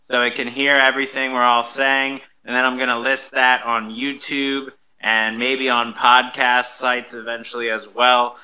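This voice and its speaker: A man's voice: mid-range voice, sharp tone, a little hiss or hum, rough recording. A man hosting a live online audio room in an upbeat, enthusiastic, chatty way. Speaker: host of an online audio show. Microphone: live social audio room call.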